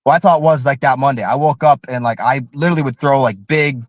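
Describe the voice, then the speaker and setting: A man on a podcast talks in long, meandering runs, packed with filler words and restarts. His voice has a faint nasal tint from a recent illness, and his pitch sits in a middle range. The sound is dry and close, with no room echo. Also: fast talker. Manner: rambling, heavy on filler "like". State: recovering from illness, slightly nasal. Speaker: returning co-host. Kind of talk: casual podcast chat.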